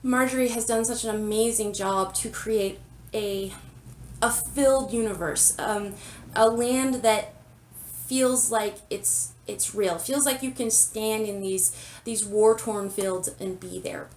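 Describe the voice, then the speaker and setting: A woman's voice: medium pitch, slow, darkish tone, slight background noise. A woman host with a stuffy head cold, chatting casually through a comic review, full of ums and apologetic asides. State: congested from a cold, sniffling. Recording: roomy, slightly distant sound.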